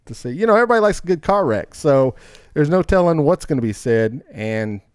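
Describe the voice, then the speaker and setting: A man's voice: fairly high pitch, animated, brisk, clean tone, dry recording, clean studio sound. A man hosting a podcast, chatting and making announcements in an informal, rambling way. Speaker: podcast host. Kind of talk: casual chat, show announcements.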